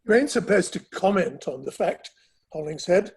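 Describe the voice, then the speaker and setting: Male actor as affable Victorian club gentleman and painter, high-pitched, husky, stage-play delivery. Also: expressive, moderate pace, exaggerated voice. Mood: affable, curious. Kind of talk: dramatic play reading.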